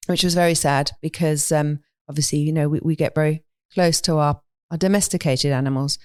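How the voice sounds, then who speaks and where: A woman from London with a London English accent, talking thoughtfully and in a measured way as an interview guest. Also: low-pitched, steady tone, darkish tone, clean tone, no echo, clean studio sound. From London, England. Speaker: podcast guest, animal sanctuary owner. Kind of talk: reflective interview conversation.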